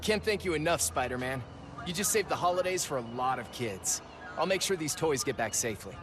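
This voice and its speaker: A man's voice: on the high side, expressive, a little fast, lightly acted. An actor playing a grateful, kindly working man in a video game. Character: grateful, kindly male toy driver. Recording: noisy outdoor city ambience.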